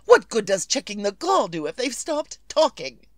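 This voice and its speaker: An actress playing a nervous, demanding, well-to-do invalid wife in old-time radio suspense style, imperious and melodramatic. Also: female voice, medium pitch, sing-song, moderate pace, darkish tone, no echo, clean studio sound, close to an everyday voice. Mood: insistent, anxious.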